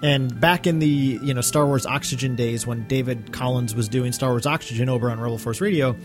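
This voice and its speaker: A man co-hosts a casual fan podcast over faint music. He speaks at a middling pitch and rambles chattily from one aside to the next. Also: fairly even intonation, a little fast. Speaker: podcast co-host.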